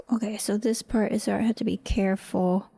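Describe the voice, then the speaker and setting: A woman giving casual behind-the-scenes commentary as she edits, halting and muttering to herself. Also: mid-range voice, steady tone, vocal fry, mellow, breathy edge, faint music behind. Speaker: voice actor, audio creator.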